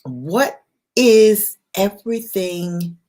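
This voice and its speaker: A woman hosting an impromptu late-night livestream, speaking in an unhurried, reflective way in short, paused phrases. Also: medium pitch, lively intonation, mellow. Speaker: livestream host.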